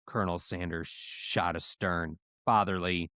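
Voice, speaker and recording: A man's voice: low voice, steady tone, a little slow, sharp tone, no echo, clean studio sound. A man co-hosting a podcast, reading comedic fan fiction aloud with theatrical delivery.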